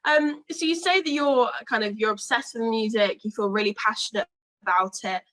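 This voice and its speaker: A woman's voice: a little high, moderate pace, fairly bright tone. A young British woman interviewing a music duo, asking long chatty questions full of fillers.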